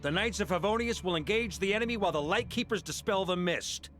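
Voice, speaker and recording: A man's voice: sits high, quick pace, a bit bright, faint music behind. An actor playing a bold knight grandmaster who rallies his troops in a video game. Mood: wry. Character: bold male knight grandmaster, rallying battle commander.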